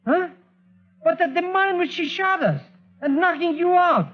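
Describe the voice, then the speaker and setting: A thin, phone-like vintage radio recording carries a bumbling foreign sidekick. His pitch sits high and leaps about as he exclaims, questions and mangles idioms in excitable confusion. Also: measured pace. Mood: indignant, confused. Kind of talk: 1940s radio drama acting. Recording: muffled vintage radio, phone-like band, music.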